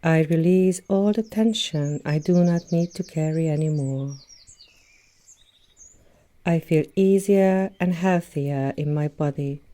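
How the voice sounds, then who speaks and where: A woman leading a guided yoga nidra meditation as an instructor, speaking in a soothing, gentle manner with long pauses between phrases. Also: low voice. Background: birdsong and nature sounds.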